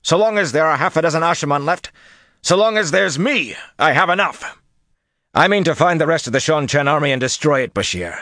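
A man's voice: very deep voice, normal speed, few pauses, dry recording, studio quality, exaggerated voice. A man voicing a driven, angry male commander who snaps defiantly in an audiobook. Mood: defiant anger. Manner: snapping, forceful delivery.

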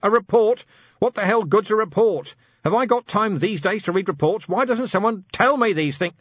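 A man voicing a blunt, harried male watch commander who is quick to bellow. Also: mid-range voice, big pitch swings, husky, dry recording, dull audio, voice pushed up. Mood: roaring.

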